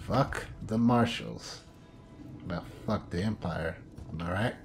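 A man's voice: sits low, slow pace. A man giving casual gameplay commentary to himself, muttering wryly and often repeating his phrases. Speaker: gamer recording a playthrough. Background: game sounds and eerie music.

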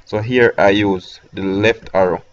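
A man with a Jamaican accent talking through a software tutorial step by step, with hesitant fillers between instructions. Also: lowish voice, steady tone, measured pace, dull audio. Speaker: tech tutorial host. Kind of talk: screen-recorded software tutorial.

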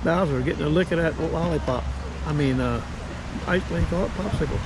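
A man's voice: fairly high pitch, fairly quick. Rambling man vlogging outdoors, noisy. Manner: rambling, self-correcting, folksy. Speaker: knife sharpener vlogging at a market. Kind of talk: casual vlog commentary. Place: outdoor farmers market parking lot. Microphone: body-worn action camera. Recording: loud outdoor street noise.

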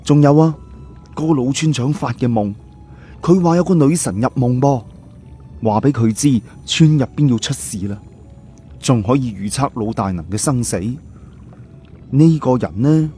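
Man playing an emphatic, mellow-voiced analytical guy. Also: medium pitch, moderate pace, pauses often, slight background noise, close to an everyday voice. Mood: insistent, reasoning. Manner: emphatic, explanatory, colloquial. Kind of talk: audiobook character dialogue.

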